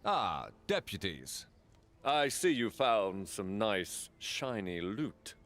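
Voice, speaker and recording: A man's voice: on the low side, big pitch swings, slow, fairly bright tone, exaggerated voice. An actor voicing a wry male lord in a fantasy video game, with drawling emphasis. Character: wry, unhurried male lord greeting adventurers.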